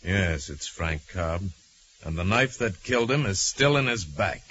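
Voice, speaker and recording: Low-voiced, articulate hero investigator. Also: male voice, expressive, measured pace, fairly bright tone. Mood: calm, probing. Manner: articulate, formal, questioning. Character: calm, reasoning male hero investigator, quietly probing. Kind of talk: 1940s radio acting. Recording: faintly noisy, dull vintage 1940s radio recording.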